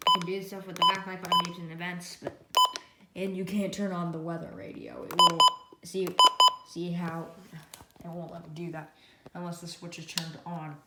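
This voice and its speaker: A woman's voice: on the low side, flat delivery, moderate pace. A woman giving a casual, rambling hands-on gadget demonstration, often reading menu items aloud one by one. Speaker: product reviewer. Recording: echoey room with loud noise and beeps.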